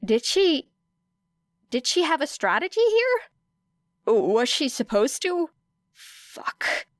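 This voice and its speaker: A woman reading an audiobook story dramatically, with deliberate, expressive phrasing and weighty pauses. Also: high voice, dry recording. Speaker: audiobook narrator. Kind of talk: dramatic scripted fiction narration.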